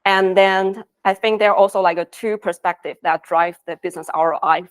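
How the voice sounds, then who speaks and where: A woman's voice: medium pitch, fairly even intonation, a little fast, clear, resonant tone. Woman giving a conference talk. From Taiwan. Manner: earnest, with non-native phrasing. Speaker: conference speaker, design lead. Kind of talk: conference presentation. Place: conference stage.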